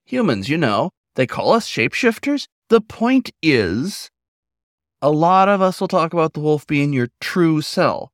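Guy doing an earnest werewolf food truck cook, nonbinary, explains stuff emphatically, voice pitched up a bit. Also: very animated, measured pace, dry recording, clean studio sound. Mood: earnest, hesitant.

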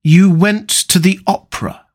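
An earnest older painter from an audiobook classic, played by a male narrator, high and swooping in pitch. He is anguished and morally shocked, pressing his reproaches with pained emphasis. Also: big pitch swings, moderate pace, fairly bright tone, exaggerated voice. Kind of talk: dramatised audiobook reading of a classic novel.

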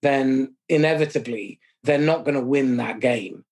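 A middle-aged man with a husky, slightly breathy voice hosts a conversational podcast. He lays out his summary point by point at a measured pace, with a self-deprecating streak. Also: medium pitch, a little mellow. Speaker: podcast host.

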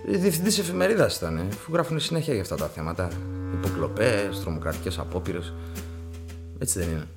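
An actor playing a naive young police constable in a radio drama, tentative and deferential. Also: male voice, deep voice, animated. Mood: reporting. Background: prominent guitar music.

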